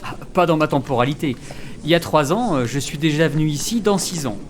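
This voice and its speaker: Man playing a flustered, animated time traveler. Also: a little high, a little fast, slight background noise, natural voice. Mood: uneasy. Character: excitable, naive male time traveler, new office recruit. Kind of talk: French audio comedy serial dialogue.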